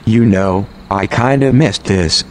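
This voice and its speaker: A blunt, scheming band musician, acted by a man, with a fairly low voice. He trades casual, sarcastic, sweary banter in a comedy audio drama, with faint noise behind him. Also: slow, a little mellow. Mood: wry.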